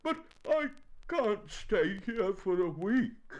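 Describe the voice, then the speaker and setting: Storybook bear, way high and swoopy, anxious, kinda dim, plaintive. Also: male voice, wildly swooping pitch, slow pace, darkish tone, dull audio, full character voice. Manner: plaintive, exclaiming. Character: anxious, dim, greedy but good-natured male bear. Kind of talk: children's storybook character voice.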